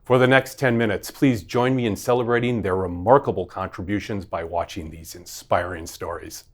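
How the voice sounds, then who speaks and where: A man hosting an event, delivering a formal prepared speech in a measured, official way. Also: deep voice. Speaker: event host.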